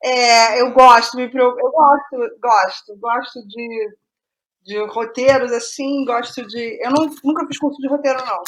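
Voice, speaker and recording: A Brazilian woman in a casual interview as a guest playwright, speaking haltingly and repeating phrases as she thinks aloud. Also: on the high side, steady tone, low-quality recording.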